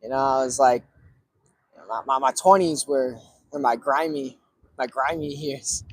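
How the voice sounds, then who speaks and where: A man in his early thirties talking casually to viewers, relaxed and rambling with lots of fillers. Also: mid-range voice, measured pace, breathy edge. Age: 30s. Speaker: cigar streamer.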